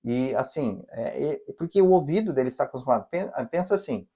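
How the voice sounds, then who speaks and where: A Brazilian man with a mellow, warm tone co-hosts a casual podcast chat. He explains things patiently and speaks straight to his listeners with practical advice. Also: medium pitch, expressive, fairly quick. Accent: Brazilian Portuguese. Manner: explanatory, advising listeners directly. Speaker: podcast co-host. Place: living in Canada.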